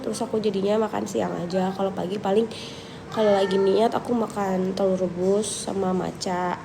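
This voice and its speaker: Young girl w a cold, gravelly voice, chill livestream chat, noisy audio. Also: medium pitch, normal speed. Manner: chatty, relaxed, thinks aloud with fillers. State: has a cold, coughing. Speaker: livestream host answering viewers. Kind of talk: casual live chat reading viewer questions.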